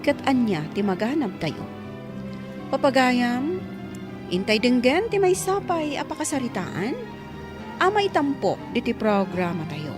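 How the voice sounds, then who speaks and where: A woman's voice: on the high side, big pitch swings, unhurried, pauses often, music in the background. A woman hosting a radio programme, giving a scripted introduction to the listeners.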